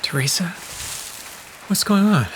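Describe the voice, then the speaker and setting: A man's voice: sits high, expressive, measured pace. Casting: kindly, sheepish regular guy, casual and boyish delivery, audio drama, light rain behind. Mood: sleepy, puzzled. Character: easygoing, kindly, slightly sheepish man. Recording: faint rain noise behind the voice.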